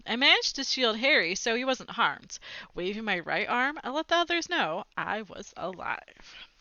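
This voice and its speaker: A woman reading a children's book aloud in an expressive, dramatizing way, with chatty asides. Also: medium pitch, no echo, dull audio. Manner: animated, dramatizing dialogue, commenting to young listeners. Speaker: read-aloud storyteller.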